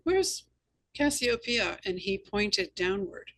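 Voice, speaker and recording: A woman's voice: medium pitch, very animated, takes it slowly. A woman hosting a conversational interview, chatting and sharing anecdotes. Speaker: interview host and novelist.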